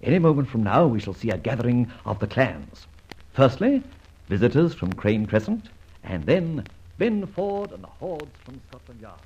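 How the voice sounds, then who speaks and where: An actor playing a suave, cheeky gentleman adventurer in an old radio drama, well-spoken and jaunty with theatrical exclamations. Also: medium pitch, sing-song, moderate pace, husky. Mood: conspiratorial glee. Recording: muffled, hissy old radio recording.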